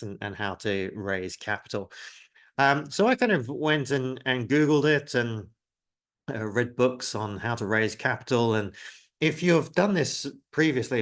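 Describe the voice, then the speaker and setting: A man's voice: fairly low pitch, a bit bright. A man in his forties who grew up in the UK, giving a live webinar presentation in a conversational way with many filler words and a self-deprecating tone.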